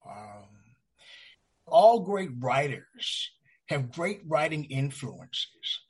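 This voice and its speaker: A male radio host asks his guest questions in a slow, deliberate way. His pitch is a little high and swings widely from word to word. His voice has a husky, breathy edge. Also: sing-song. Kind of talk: interviewer.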